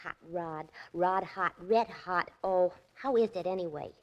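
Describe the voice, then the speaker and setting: A woman's voice: lowish voice, unhurried, highs cut off, natural voice. An actress playing a scatterbrained, chatty young secretary who muddles her words, in 1940s radio comedy style. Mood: ditzy, curious.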